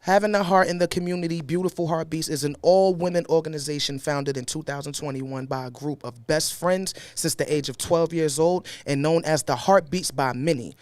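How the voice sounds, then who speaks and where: A man with a Brooklyn accent co-hosting a casual talk show, animated and playful, reading a guest bio aloud. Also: on the high side, brisk, few pauses, no room sound. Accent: Brooklyn, New York. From New York. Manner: animated, playful, laughs often. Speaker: co-host.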